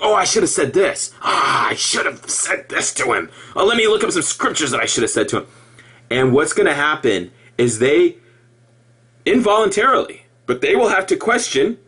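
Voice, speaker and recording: Animated man with a higher, husky voice. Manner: halting, repetitive, with emphatic outbursts. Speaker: former Jehovah's Witness video blogger. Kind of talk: impassioned personal monologue to viewers.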